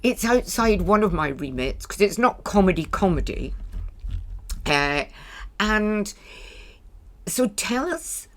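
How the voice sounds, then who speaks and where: A woman's voice: medium pitch, animated, takes it slowly, pauses often. An older woman, hosting a chatty podcast interview in a blunt, wry manner. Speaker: podcast host. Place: small stage in a bar venue.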